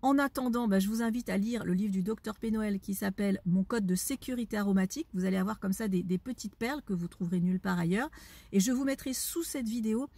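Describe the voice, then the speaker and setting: A woman's voice: medium pitch, fast, mellow, husky, no echo. A woman, an aromatherapy educator answering a viewer's question in a didactic way, often checking that listeners follow.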